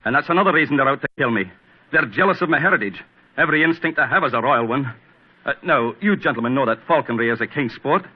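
A man's voice: mid-range voice, expressive, fast talker, breathy edge. An actor playing a frightened middle-aged man with a weak heart, pleading anxiously, in 1940s radio acting on a dull old broadcast.

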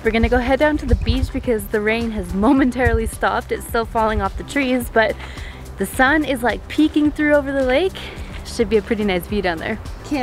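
A woman in her twenties chatting casually to a camera as a travel vlogger. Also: on the high side. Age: 20s. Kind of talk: casual vlog chat to camera. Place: inside a truck and outdoors at a campground. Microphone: camera held at arm's length.

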